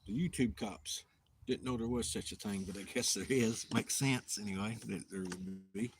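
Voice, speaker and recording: Southern guy hosting a live outdoor stream, kinda low voice, lots of uh and restarts, noisy audio. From Tennessee. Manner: rambling, halting, self-deprecating, many restarts and fillers. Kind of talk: casual live-stream chat.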